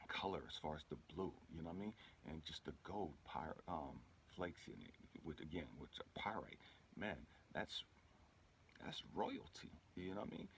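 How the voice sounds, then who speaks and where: Very deep-voiced man giving spiritual talk. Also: vocal fry, slight background noise. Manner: emphatic, repetitive, colloquial, often checks in with the listener. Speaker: spiritual healer and channel host. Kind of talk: informal motivational spiritual talk.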